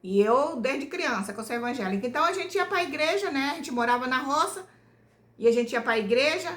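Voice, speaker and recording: A woman's voice: sits high, lively intonation, fairly quick, a bit bright. Homespun woman, echoey room. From Bahia, Brazil. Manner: homespun, rambling, tags nearly every phrase with "né?". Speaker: channel host telling her life story. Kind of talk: casual storytelling to viewers.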